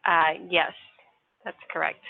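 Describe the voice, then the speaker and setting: A woman's voice: deep voice, level tone, takes it slowly, vocal fry, no room sound. A woman working customer service, answering politely and patiently with some hesitation. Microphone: phone. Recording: tinny dry phone line.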